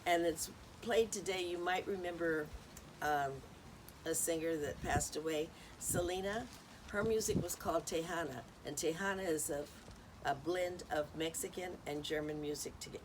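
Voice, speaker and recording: Chatty woman rambling with noise behind. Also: low-pitched. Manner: chatty, rambling, many ums and uhs. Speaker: host. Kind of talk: casual spoken talk on music history.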